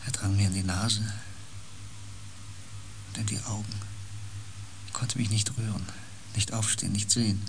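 A haunted, fearful space explorer speaks in a lowish voice. The delivery is brooding and breathy, full of intensity, in radio play style over faint ambient noise. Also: male voice, flat delivery, moderate pace, pauses often. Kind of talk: German science fiction radio play acting.

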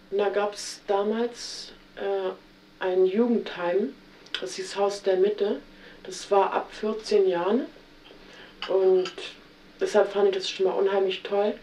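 A woman's voice: medium pitch, mellow, slight background noise. A young woman with a Berlin accent, talking hesitantly about her life in a recorded interview, often stopping with little tag questions. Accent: Berlin German. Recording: roomy, distant 1970s tape recording.

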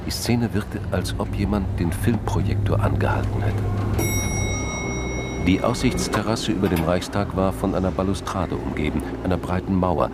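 Very deep-voiced male crime story narrator. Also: moderate pace, very noisy. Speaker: narrator of a crime radio play. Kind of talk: scripted literary narration.

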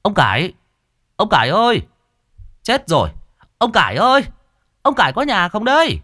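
A man voicing an urgent, panicked neighbor calling out loudly at the gate. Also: on the high side, very animated, fairly quick, no room sound, clean studio sound, pitched above the performer's own voice. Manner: urgent, shouting.

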